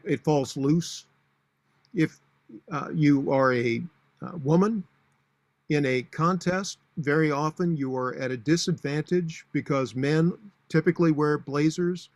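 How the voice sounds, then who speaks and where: A booming-voiced man in his sixties gives speaking tips in an instructional talk. His voice sits a little high for a man. The sound is close and dry, and he delivers matter-of-fact advice with plenty of uhs. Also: unhurried, dry recording. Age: 60s. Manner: practical, matter-of-fact advice with frequent fillers. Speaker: public speaking coach.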